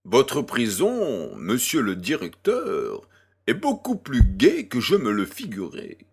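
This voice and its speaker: A man's voice: mid-range voice, huge pitch swings, takes it slowly, creaky, breathy edge, heavily acted. A man playing a jovial, worldly French baron in an audio drama, with pompous, effusive courtesy. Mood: curious, courteous.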